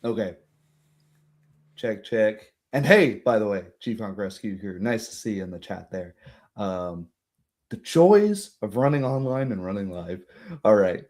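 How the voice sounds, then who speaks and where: A man chats casually as the host of an online tabletop game. His pitch moves around in a very animated way. He rambles and hesitates good-humoredly, breaking easily into laughter. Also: measured pace. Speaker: host and game master of a streamed tabletop roleplaying game. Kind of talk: casual livestream chat.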